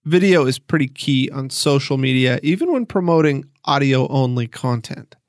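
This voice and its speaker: A man hosting a podcast, narrating and discussing in a clear explanatory podcast style. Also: medium pitch, lively intonation, moderate pace, no room sound, studio quality. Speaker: podcast host, interviewer. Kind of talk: scripted podcast narration with conversational interview.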